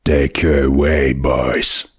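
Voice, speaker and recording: A man voicing a burly stallion sheriff with a stern, gruff, commanding manner in a comic story. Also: a bass voice, little pitch movement, takes it slowly, voice pushed down, full character voice.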